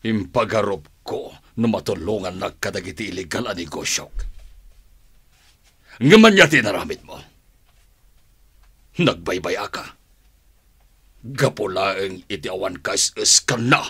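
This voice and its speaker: A man's voice: deep voice, animated, unhurried, pauses often, fairly bright tone, slightly rough, exaggerated voice. An actor playing a domineering, villainous father and crooked gang boss who taunts and scolds in a radio drama. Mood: scornful, scolding. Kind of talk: Ilocano radio drama acting.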